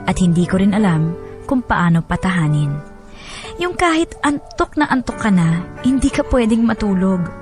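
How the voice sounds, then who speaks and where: Music plays behind an actress as a weary young mother. She narrates a radio drama letter in a plaintive, confiding manner, with a mid-pitched voice. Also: close to an everyday voice. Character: weary young new mother telling her story.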